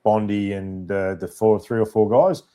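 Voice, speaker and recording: Mellow jokey Australian bloke. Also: male voice, on the low side, steady tone, normal speed. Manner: jokey, matey, rambling. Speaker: podcast host. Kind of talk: casual in-person chat.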